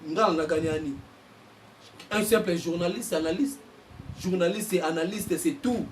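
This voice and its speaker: A Congolese man, a journalist and political analyst, giving impassioned commentary and getting louder as he presses his points. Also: high voice, normal speed, far from the mic, a little hiss or hum. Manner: heated, mixes French and Lingala, bursts louder on points.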